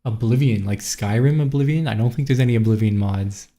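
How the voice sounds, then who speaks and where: A man's voice: mid-range voice, fairly quick, warm tone. A man from Montreal chatting loosely with viewers on a live stream, rambling and reading out chat. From Montreal, Canada. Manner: rambling, scattered, reads chat aloud.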